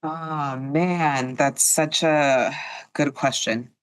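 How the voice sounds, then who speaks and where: A woman's voice: deep voice, fairly even intonation, drawn-out words, no echo, low-quality recording. Young adult woman podcast guest, earnest and upbeat, dry close sound. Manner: earnest, upbeat, talks with many fillers. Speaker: guest, immigration attorney and law professor. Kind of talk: casual podcast interview.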